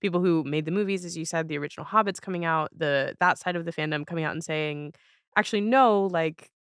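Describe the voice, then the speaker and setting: Smooth-voiced woman hosting a reflective chat. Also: sits low, fast talker, fairly bright tone, no echo, clean studio sound. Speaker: podcast host, interviewer. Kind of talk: casual, reflective interview chat.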